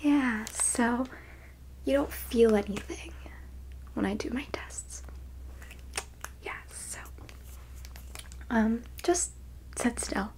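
A woman playing a smug, teasing alien abductor in an ASMR roleplay, speaking softly in breathy half-whispers with condescension. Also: on the high side, drawn-out words, long pauses, poor audio. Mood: clinical, soft. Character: smug, teasing female alien abductor examining a captured specimen.

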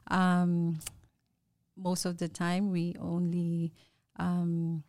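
A woman's voice: lowish voice, completely flat delivery, drawn-out words, a little mellow, no echo. A woman in her forties from the Philippines with a Filipino accent, chatting as a guest in a studio interview. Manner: friendly, chatty, laughs easily, mixes in Tagalog. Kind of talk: casual interview chat. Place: podcast studio.

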